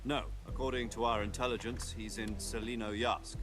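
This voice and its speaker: A male actor plays a middle-aged military commander in a dramatic game cutscene. He briefs with urgent, precise authority in a mid-pitched voice. Loud music and aircraft rumble sit behind him. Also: level tone, normal speed, lightly acted. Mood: grave, explanatory. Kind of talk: dramatic scripted video game cutscene dialogue.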